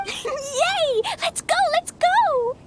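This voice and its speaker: A woman's voice: very high voice, lively intonation, very slow, slight background noise, full character voice. A woman voicing a small, cheerful and innocent girl who chatters in a bubbly childlike way. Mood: excited. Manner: bubbly, childlike, says "super duper" and "Mister". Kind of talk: game voice acting. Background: game music.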